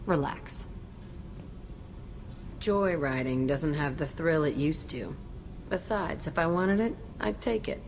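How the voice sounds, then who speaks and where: Casting: hardened young criminal woman for a game; low register, tough, cocky, cynical. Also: expressive, slow pace, pauses often, a little hiss or hum, heavily acted. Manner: curt, tough, sardonic. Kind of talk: video game character acting.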